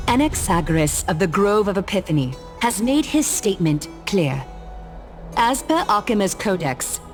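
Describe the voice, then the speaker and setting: An actress playing a regal demigod judge in a video game, with a formal, poised and authoritative manner. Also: female voice, medium pitch. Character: regal, commanding demigod lady presiding as judge. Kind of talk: video game cutscene voice acting.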